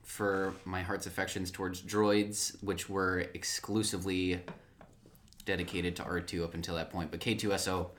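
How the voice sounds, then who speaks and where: A man's voice: low-pitched, little pitch movement, normal speed, a bit bright, a little hiss or hum. A man co-hosting a casual chat, dropping dry quips and trivia asides. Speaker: podcast co-host.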